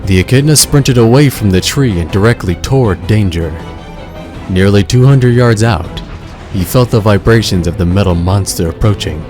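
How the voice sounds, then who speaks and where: A man narrating a scripted story in a measured, suspenseful, dramatic style. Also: lowish voice. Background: loud music.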